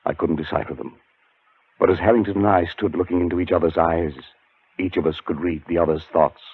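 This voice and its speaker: A man acting in a 1940s radio drama as a haunted, educated gentleman, telling his story in a formal, deliberate, dramatic way. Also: deep voice, steady tone, husky, dry recording. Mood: grave. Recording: muffled 1940s radio recording, music behind.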